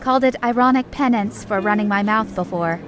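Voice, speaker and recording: A woman's voice: mid-range voice, a little fast, natural voice. A woman playing a tipsy, playful gossip in an audio drama, teasing and flippant as she talks. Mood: gossipy. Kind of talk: fan-made audio drama acting. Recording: music under the voice.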